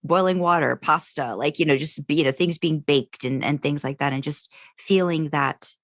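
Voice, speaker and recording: Female podcast co-host, low register, dry sound, casual and discursive. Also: moderate pace, no echo. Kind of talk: casual chat about fan fiction.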